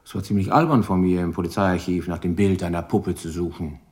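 An actor playing a shrewd, dogged police lieutenant in a radio crime drama, probing deliberately with dry irony. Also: male voice, sits low, steady tone, darkish tone, close to an everyday voice. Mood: dryly pressing.

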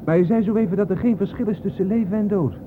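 A male actor in a Dutch radio play, playing a skeptical, excitable photographer who talks bluntly and colloquially, full of questions. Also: high voice, quick pace, close to an everyday voice. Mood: doubting, curious. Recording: noisy, thin phone-like old radio recording.

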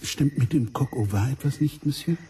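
An actor playing a discreet, eager French hotel waiter in a radio drama, polite and deferential, with a French accent. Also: male voice, medium pitch, normal speed, dark tone, slight background noise, close to an everyday voice. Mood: obliging, polite.

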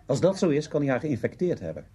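A man's voice: medium pitch, very animated, quick pace, mellow, husky. A man acting in a radio play as a gossipy, evasive old student friend, grumbling, hesitant and defensive. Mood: speculating. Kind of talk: radio drama dialogue.

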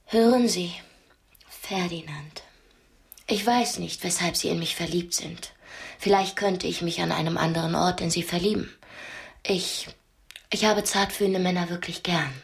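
An actress in a radio play as a brave, sharp-witted young captive, speaking directly and persuasively. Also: female voice, fairly low pitch, measured pace, gravelly, long pauses. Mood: pleading, coaxing. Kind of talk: radio drama acting.

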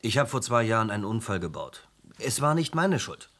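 A man's voice: lowish voice, sing-song, fairly quick, fairly bright tone, exaggerated voice. An actor playing a defensive, plain-spoken unemployed young man in his early twenties, questioned in a German radio play. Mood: defensive, earnest. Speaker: witness being questioned.

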